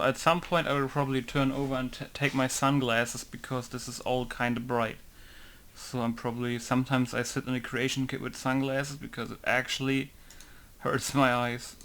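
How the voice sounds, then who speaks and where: A man's voice: mid-range voice, clear, resonant tone. A man who mods games, talking casually and rambling as he works through a tutorial, laughing now and then. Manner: relaxed, rambling, laughs often. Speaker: game modder. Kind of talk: casual screen-recording commentary.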